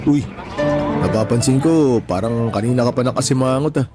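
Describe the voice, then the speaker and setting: A man's voice: medium pitch, normal speed, over loud music, natural voice. An actor playing a friendly buddy who soothes his fellow tricycle driver in a Tagalog radio drama. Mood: concerned, soothing.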